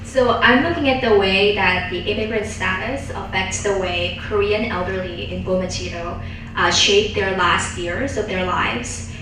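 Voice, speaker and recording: A woman's voice: medium pitch, a little slow. A young woman, a student researcher, gives a prepared research presentation. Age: young adult. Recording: very echoey room, distant mic, faint noise.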